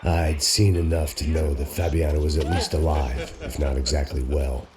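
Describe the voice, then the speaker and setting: An actor playing a weary, bitter, hard-drinking American in a hardboiled noir game voice-over, terse and sardonic. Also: male voice, very deep voice, level tone, moderate pace, crowd noise. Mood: grimly sardonic. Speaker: narrating protagonist.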